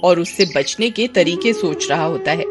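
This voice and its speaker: A woman's voice: lowish voice, lively intonation, normal speed, music in the background. A woman narrator reading a scripted story for children.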